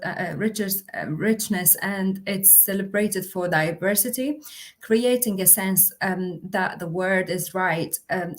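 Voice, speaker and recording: A young woman, a university officer, presenting a livestream with many fillers and restarts. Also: medium pitch. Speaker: international officer.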